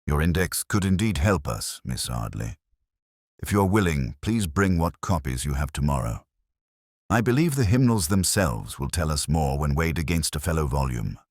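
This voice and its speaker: A man voicing a calm, shrewd police inspector who speaks gently, formally and deliberately. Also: a bass voice, dry recording, studio quality, exaggerated voice. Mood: calm, firm.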